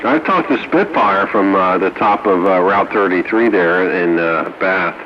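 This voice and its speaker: Male radio operator, deep register, casual talk, clearly noisy transmission. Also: a little slow. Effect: two-way radio transmission. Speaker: radio operator in a group chat. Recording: muffled, noisy two-way radio.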